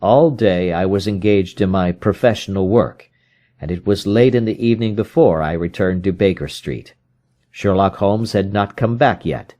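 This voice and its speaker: A man's voice: deep voice, normal speed, mellow. A man reading classic fiction aloud as scripted audiobook narration. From United States. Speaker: audiobook reader.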